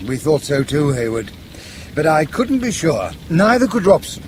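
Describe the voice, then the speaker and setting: An actor playing a suave, ruthless British intelligence chief in a radio drama, urbane and dryly ironic. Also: male voice, a little high, very animated, normal speed, background noise. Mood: smug, urbane.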